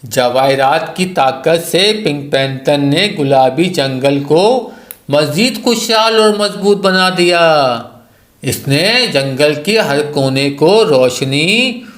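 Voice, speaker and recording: A man's voice: fairly high pitch, animated, takes it slowly, fairly bright tone, roomy sound. A man reading a scripted children's story as its narrator.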